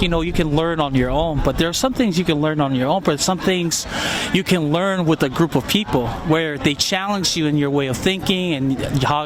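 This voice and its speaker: A man from Samoa, a university graduate, giving earnest, reflective advice in an outdoor interview. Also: fairly high pitch. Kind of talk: casual street interview. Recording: noisy outdoor street recording.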